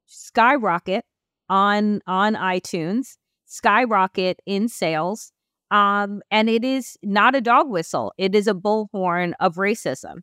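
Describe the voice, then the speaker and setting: A woman speaks as the host of a conversational interview show. Her voice is smooth and clean, recorded dry, and she builds long questions in halting pieces. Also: mid-range voice, fairly bright tone, dry recording. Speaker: podcast host.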